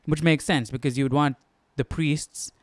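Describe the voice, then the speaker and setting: A man's voice: a little high, fairly even intonation, normal speed, dry recording. A man hosting a Bible study, explaining casually and tentatively as he thinks aloud, often checking himself. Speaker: host of a Bible study stream.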